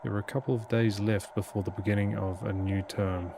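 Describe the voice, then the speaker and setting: A man's voice: fairly low pitch, flat delivery, fairly quick, gravelly, background noise. A man reading visual novel narration aloud as a let's play host, occasionally stumbling and repeating phrases. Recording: game music behind the voice. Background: horror game music.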